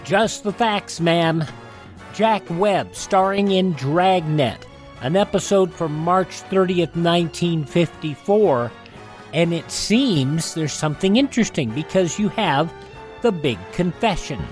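A man's voice: on the high side, expressive, slow pace. A man hosting a radio show, giving a scripted introduction. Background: faint music.

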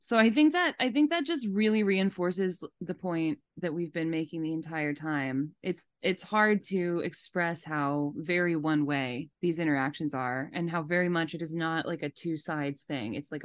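A woman's voice: low voice, moderate pace, few pauses, dry recording, clean studio sound. A woman hosting a podcast, giving casual, emphatic commentary and repeating phrases for stress. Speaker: podcast host.

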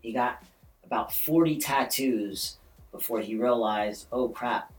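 A man's voice: medium pitch, a little slow. A man co-hosting a podcast, retelling a news story in a rambling, casual way with lots of ums. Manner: rambling, with many ums and "basically". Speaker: podcast co-host. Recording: roomy echo, distant from the mic.

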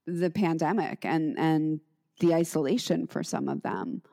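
A middle-aged woman teacher in casual conversation, speaking reflectively with frequent pauses and restarts. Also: low-pitched, sing-song, creaky, dark tone. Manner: reflective, halting with many restarts.

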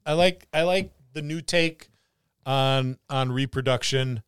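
A man's voice: medium pitch, slow, a bit bright, clean tone, dry recording, studio quality. A man co-hosting a podcast and chatting casually about tabletop games. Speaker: podcast co-host. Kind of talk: casual tabletop gaming discussion.

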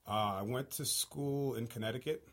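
A man in his thirties from Haiti, a guest talking casually in an interview, relaxed with lots of fillers. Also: mid-range voice, normal speed. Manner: relaxed, laughs easily, many fillers.

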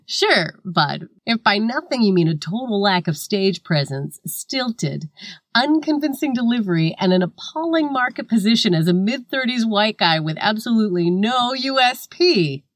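A woman's voice: mid-range voice, animated, normal speed, no echo, natural voice. A woman playing a scathing, foul-mouthed comedian in a scripted comic argument, with a sarcastic, cutting delivery. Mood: mocking. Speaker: guest comedian in a scripted satire sketch.

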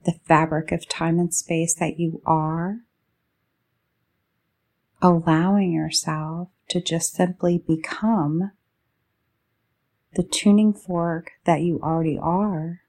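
Slow, creaky-voiced woman leading meditation. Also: fairly low pitch, fairly even intonation, darkish tone. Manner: slow, soothing delivery in short drawn-out phrases. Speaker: guided meditation leader. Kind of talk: guided meditation narration.

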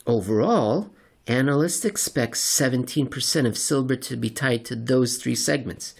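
A man reading a scripted narration in a dramatic, deliberate and wry manner. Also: medium pitch, creaky, husky. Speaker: narrator and reader. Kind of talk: theatrical scripted narration of market report and story.

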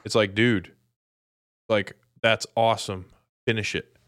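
Smooth, low-voiced man talking sports. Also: steady tone, measured pace, a bit bright, no echo, studio quality. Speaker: sports podcast co-host. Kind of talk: casual sports chat.

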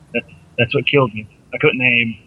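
A man's voice: mid-range voice, breathy edge. A man bantering on a gaming podcast, jokey and self-mocking, on a dull lo-fi mic. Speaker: podcast co-host. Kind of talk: casual gaming podcast chat.

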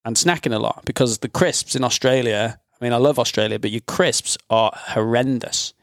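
A man's voice: medium pitch, moderate pace, no echo, clean studio sound. A man hosting a podcast in an informal, bantering, chatty way. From North Wales, UK. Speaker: podcast host. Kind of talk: casual podcast chat.

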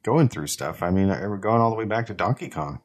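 A man's voice: on the low side, lively intonation, a little fast. A man in his forties musing in a rambling, casual way as a podcast co-host. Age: 40s. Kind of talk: casual chat.

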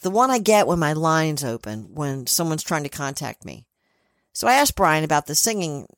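A woman co-hosting a podcast, loud and chatty, moving between playful asides and reading from a script. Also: sits low, big pitch swings, normal speed, dry recording, studio quality. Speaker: podcast co-host and spirit communicator. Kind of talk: casual chat and reading from a script.

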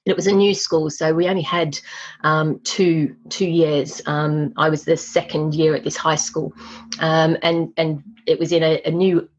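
A woman's voice: low-pitched, level tone, a little slow, lo-fi sound. A woman who grew up in Australia, a guest on an interview podcast, chatting casually with frequent laughs and many ums.

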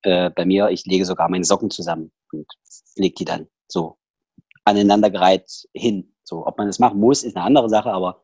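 A man's voice: deep voice, steady tone, fairly quick, a little mellow, breathy edge, no room sound, lo-fi sound. A man with a Saxon accent, a podcast guest talking casually over a headset, rambling with many fillers and restarts. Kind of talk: casual personal interview talk.

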